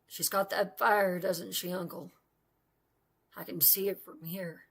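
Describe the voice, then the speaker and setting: Woman doing a smooth charmer, low, soft, confident, slow deliberate delivery. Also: fairly even intonation, full character voice. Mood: smooth, teasing.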